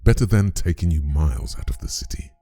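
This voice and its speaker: Tired dutiful priest, really dark gravelly voice, pitch moves around a lot, radio drama style. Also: male voice, very animated, a little fast, exaggerated voice. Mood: weary, concerned. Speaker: voice actor. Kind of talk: audio drama acting.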